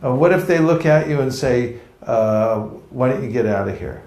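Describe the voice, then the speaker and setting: A playful man from California leads a seminar before a live audience, joking along and acting out little street encounters. His voice sits at an ordinary pitch. The echo of the room rings around every phrase. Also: expressive, moderate pace, a little mellow. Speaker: seminar teacher. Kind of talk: lively seminar teaching. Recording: echoey room.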